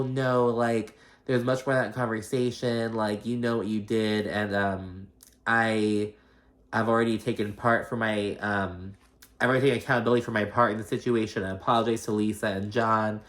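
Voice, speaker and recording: Low-voiced gossipy man, casual and sweary. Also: fairly bright tone. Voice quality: slight lisp. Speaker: host of a reality TV recap show.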